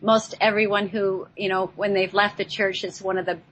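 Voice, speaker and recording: A woman with a mid-pitched voice chats as an interview guest. She explains herself earnestly and a little hesitantly. Light background noise sits under her voice. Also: little pitch movement, normal speed, fairly bright tone, low-quality recording. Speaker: radio show guest. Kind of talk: casual conversational interview.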